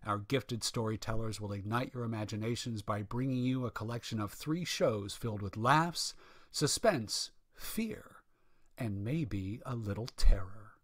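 A man's voice: on the low side, unhurried. A man giving a scripted show introduction as the announcer.

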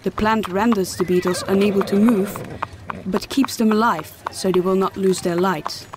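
A woman's voice: medium pitch, steady tone, moderate pace, natural voice. A woman as a patient fantasy mentor. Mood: patient, instructive. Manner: formal, patient explaining. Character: kindly, instructive female mentor of a fantasy light-gathering trade. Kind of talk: audio drama acting. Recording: clearly noisy background of clicks and horse-like sounds.

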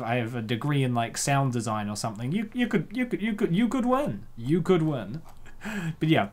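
A man hosting casual comic commentary, jokey and given to exaggerated reactions. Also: mid-range voice. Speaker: host.

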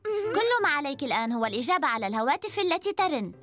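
A woman's voice: high-pitched, big pitch swings, very fast, a bit bright, soft background music. A woman playing a helpful, bossy, encouraging young girl in a dubbed children's show. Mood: brisk, organising. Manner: eager, encouraging.

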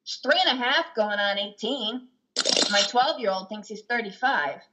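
A woman playing a guilt-ridden, flustered mother at confession, rambling and halting in a play reading. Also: on the high side, very noisy, natural voice. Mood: flustered, exasperated. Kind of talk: play reading on a video call. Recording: dull, lo-fi video call.

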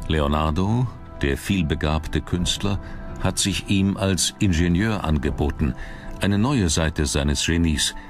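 Man, very low pitch, scripted documentary narration, measured dramatic delivery, prominent background music.

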